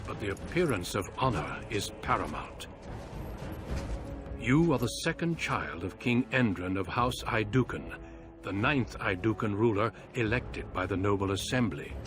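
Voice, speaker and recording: An actor playing a solemn warrior who narrates a fantasy game with a grave, measured, portentous delivery. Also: male voice, lowish voice, expressive. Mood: measured, stately. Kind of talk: scripted cinematic game narration. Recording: loud music under the voice. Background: loud music.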